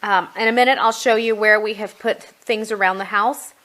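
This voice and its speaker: A woman's voice: mid-range voice, steady tone, normal speed, sharp tone, slight background noise, low-quality recording. A middle-aged woman talking casually and explaining things as she goes, like a parent showing products at home in a review. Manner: conversational, explanatory.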